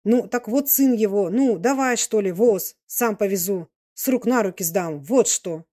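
Woman as a loud, tipsy old peasant veteran. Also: mid-range voice, unhurried, no room sound, studio quality, natural voice. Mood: excited, insistent. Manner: exclamatory, rambling folk speech. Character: loud, tipsy, big old male peasant, devoted ex-soldier.

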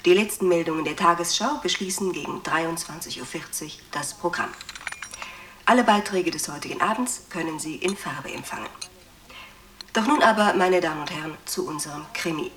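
Actress doing a formal tv announcer lady, low-ish voice, echoey and away from the mic. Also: moderate pace, a bit bright, close to an everyday voice. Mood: formal, courteous. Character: courteous, composed female television evening announcer. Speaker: television continuity announcer. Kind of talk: formal scripted programme announcement. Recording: roomy, distant, faint noise.

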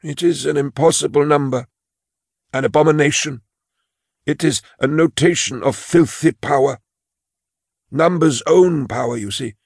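A man's voice: a little high, unhurried, long pauses, no echo, clean studio sound. An actor playing a frail, elderly male clerk who is anxious and quavering, in an audio drama. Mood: fearful. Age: older. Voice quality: gravelly, husky.

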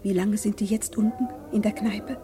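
A woman's voice: mid-range voice, fairly even intonation, normal speed, over music, natural voice. An actress playing an anxious, despairing middle-aged mother in a German radio drama, fretful and bitter. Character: anxious, despairing mother keeping watch at night.

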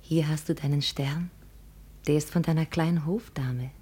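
An actress playing a young, devoted and grieving daughter in a vintage radio drama, with a husky, often whispery voice. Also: female voice, sits low, fairly even intonation, normal speed, warm tone. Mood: tender, reassuring. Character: grieving, devoted daughter humouring her deluded father. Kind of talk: 1950 German radio drama acting.

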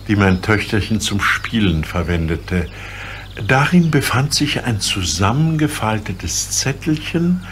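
Man playing slow, dignified old Chinese emperor. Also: fairly low pitch, a bit bright, slight background noise, exaggerated voice. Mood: grave, measured. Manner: stately, formal, measured old-fashioned speech. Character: dignified, solemn old Emperor of China, worried father. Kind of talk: radio play acting for children.

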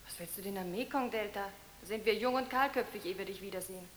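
An actress playing an anxious, sharp-tongued young sweetheart in a radio play. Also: female voice, mid-range voice, fast talker, lightly acted. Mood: reproachful. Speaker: actor. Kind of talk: German radio play acting. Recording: echoey room, faint hiss.

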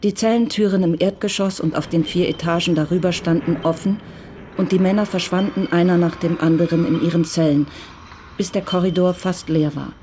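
A woman giving calm, measured scripted narration for a radio crime drama. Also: on the low side, slight background noise.

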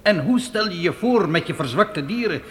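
A man's voice: high voice, animated, moderate pace. An actor playing a seasoned, blunt frontier mountain man in a radio play, stern and emphatic as he admonishes. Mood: sceptical warning. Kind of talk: Dutch radio play acting. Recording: noisy old radio play recording.

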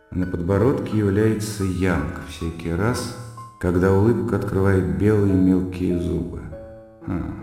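An actor playing a cerebral, self-controlled gentleman who muses analytically in a radio drama inner monologue. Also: deep voice, vocal fry. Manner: musing, analytical. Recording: cavernous long reverb, music behind.

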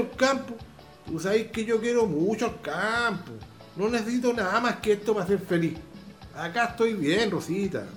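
Radio drama: old rural Chilean peasant father played by a man, proud, affectionate, lively swooping pitch, music underneath. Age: older.

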